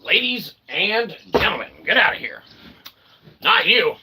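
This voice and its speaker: A man's voice: sits high, very animated, measured pace, sharp tone, slightly rough. A man hosting a comic show in a rambling monologue, with a theatrical mock-showman manner and tongue-in-cheek asides. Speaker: host of a comic philosophy show.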